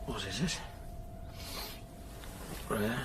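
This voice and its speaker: Very deep, coaxing male lover, played by an actor. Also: animated, drawn-out words, heavy background noise. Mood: coaxing, anxious. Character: tender, evasive man placating his troubled lover. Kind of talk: intimate audio drama acting.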